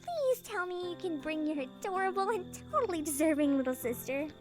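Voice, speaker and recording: A young woman playing a spirited, teasing little sister in a video game, casual, sassy and expressive. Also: very high voice, brisk, music in the background. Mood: excited.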